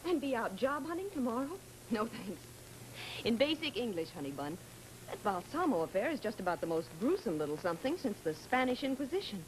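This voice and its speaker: A woman's voice: a little high, big pitch swings, fairly quick, a little hiss or hum, poor audio. An actress playing a tough, sassy woman press agent in an old radio drama, snappy and slangy. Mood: sassy, brisk. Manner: snappy, slangy, hard-boiled. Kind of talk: 1940s radio drama acting. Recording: vintage radio recording, music behind.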